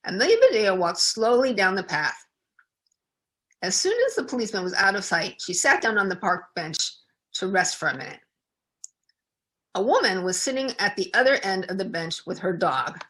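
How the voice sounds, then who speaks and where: A woman storyteller narrating a children's book aloud in an expressive, deliberate way. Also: mid-range voice.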